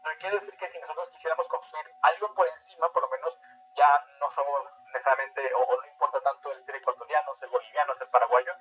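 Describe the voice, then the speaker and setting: A man's voice: mid-range voice, steady tone, fast talker, lo-fi sound. A man lecturing informally as an instructor, hesitant and often restating his phrases. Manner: hesitant, repetitive delivery, often restating phrases and checking understanding. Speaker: instructor of a political training class. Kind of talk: informal lecture explaining political integration.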